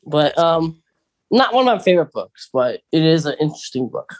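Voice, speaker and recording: A podcast co-host with a medium-pitched voice talks in a casual, conversational way. The sound is dry, with hardly any room to it. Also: big pitch swings, fairly quick, no echo. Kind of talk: casual chat about films and books.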